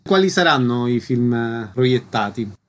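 A man's voice: medium pitch, lively intonation, a little slow. A man hosting a radio programme, putting concise questions and reading out announcements. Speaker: radio interviewer, host.

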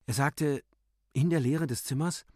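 Solemn man reciting slowly in a dry studio. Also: fairly high pitch, mellow, no echo. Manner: solemn, declamatory, deliberate. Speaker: narrator and reciter. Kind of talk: dramatic literary recitation for a German radio play.

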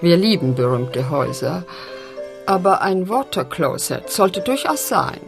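A woman's voice: fairly low pitch, expressive, a little slow, gravelly, music in the background. An actress playing a fussy, imperious British lady collector in a radio play, with a grand, affected drawl. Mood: fussy, demanding. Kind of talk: German radio play acting.

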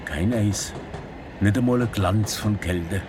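A man acting a chatty, whimsical stranger in a radio drama, inquisitive and rambling. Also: slow. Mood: whimsical, amazed. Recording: loud train noise in background. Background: rumbling train.

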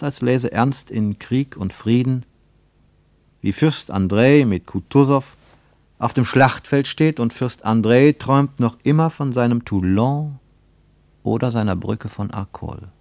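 A man reading scripted literary narration for radio in a measured, solemn manner. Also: mid-range voice, animated, a little mellow, no echo.

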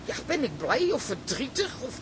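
A self-important older woman in a radio drama, holding forth in a high voice. She is fussy and pompous, and there is clear noise behind her. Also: sing-song, normal speed. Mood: indignant, haughty. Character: vain, self-important older woman, theatrical would-be actress. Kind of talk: Dutch radio play acting.

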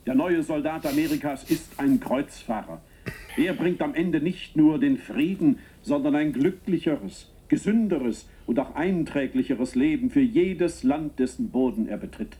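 An actor playing a zealous American propagandist who delivers a solemn, pompous political sermon in a radio play. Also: male voice, on the high side, unhurried, mellow, lightly acted. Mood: solemn, self-righteous.

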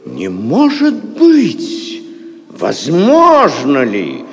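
Haughty high-voiced prince. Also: male voice, wildly swooping pitch, very slow, gravelly, full character voice. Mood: mock surprise. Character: haughty, theatrical medieval prince, sneering and suspicious. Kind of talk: Russian audio play acting. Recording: long hall reverb.

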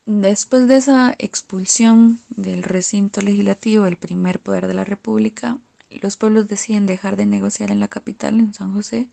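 A woman with a Costa Rican accent giving an informal explanation as a radio contributor, halting as she searches for words. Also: mid-range voice, steady tone, gravelly, dark tone. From Costa Rica.

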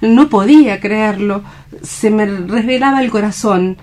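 A woman's voice: mid-range voice, measured pace, a little mellow, close to an everyday voice. An actress playing a devoted, earnest young woman with a lyrical, emotive delivery in a radio drama. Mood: anguished. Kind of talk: radio theatre dramatic reading.

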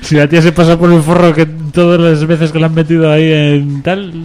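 A man's voice: fairly high pitch, level tone, brisk, darkish tone. A man, co-hosting a radio show and reading news items in a casual, joking way with frequent laughs. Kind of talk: casual news chat, half read.

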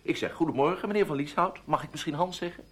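A man acting a menacing, scheming ex-lover in a Dutch radio play, taunting and mock-polite in a deliberate way. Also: on the high side, breathy edge. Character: menacing scheming male ex-lover, smooth blackmailer.

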